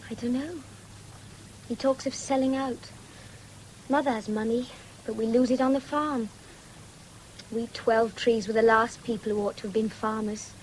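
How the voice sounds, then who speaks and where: An actress plays a young farm daughter with a high, girlish voice. She speaks plainly and a little wistfully, over faint noise on a 1970s radio broadcast. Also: fairly even intonation, normal speed, pauses often, lightly acted, low-quality recording. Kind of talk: radio drama acting.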